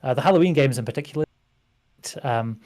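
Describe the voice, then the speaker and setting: Chatty British guest, mid-pitched. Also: male voice, a little fast, a little mellow. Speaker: guest, tabletop game YouTuber. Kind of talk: casual chat.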